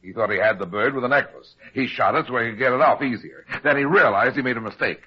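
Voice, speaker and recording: A male actor plays a shrewd, take-charge private eye from an old radio mystery. His voice is husky and sits at an ordinary male pitch, with brisk, commanding delivery. The recording is dull and lo-fi. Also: natural voice. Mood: assured, explaining. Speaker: lead actor. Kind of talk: old-time radio detective acting.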